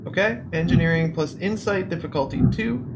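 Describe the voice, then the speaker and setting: A man's voice: high voice, brisk. A man playing a tabletop game, chatting casually in and out of character with a wry, joking manner. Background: background music.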